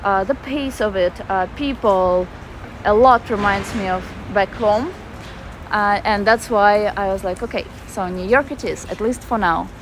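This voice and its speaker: A woman's voice: medium pitch, a little slow, a little hiss or hum, rough recording. A woman with a Russian accent chatting casually while walking outdoors, reading out viewer comments on a phone livestream. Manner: chatty, reads viewer comments aloud with fillers. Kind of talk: casual live-stream chat reading comments. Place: outdoors in a city park.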